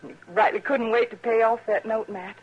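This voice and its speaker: Husky, worried frontier ranch wife. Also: female voice, on the high side, steady tone. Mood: plain, earnest. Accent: American, rural western. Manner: plainspoken, halting, restrained. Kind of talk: 1950s radio western acting. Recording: muffled vintage radio recording.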